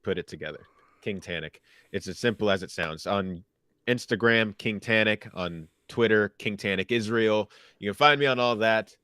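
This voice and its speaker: A man's voice: lowish voice, moderate pace, bright, forceful tone, smooth, no echo, clean studio sound. A man appearing as a guest co-host, bantering casually in a playful, slangy way.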